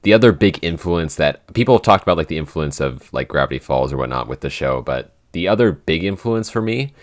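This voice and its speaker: A man's voice: a bass voice, lively intonation, a little fast, no echo, studio quality. A man hosting a casual podcast Q&A, talking in a rambling, informal way. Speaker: podcast host and show's writer. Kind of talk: casual Q&A chat.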